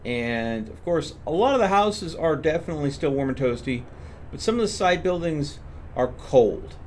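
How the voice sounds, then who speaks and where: A man's voice: fairly high pitch, lively intonation, normal speed, a little hiss or hum. A man streaming a video game, explaining what happens on screen as he plays. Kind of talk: live gameplay commentary, explanatory.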